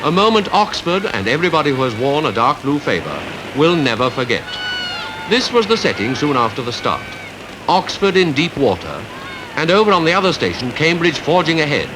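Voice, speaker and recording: A man with a British Received Pronunciation accent reading scripted 1950s newsreel narration in a formal, measured, rhetorical way. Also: on the high side, sing-song, background noise. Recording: 1951 archive newsreel with music behind.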